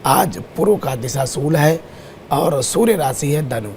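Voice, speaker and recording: Hoarse man with a gravelly voice. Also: fairly high pitch, lively intonation, unhurried, darkish tone, a little hiss or hum. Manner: lists times and dates steadily. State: sore, hoarse throat. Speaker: astrologer guest. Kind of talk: explaining astrology on TV. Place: TV studio.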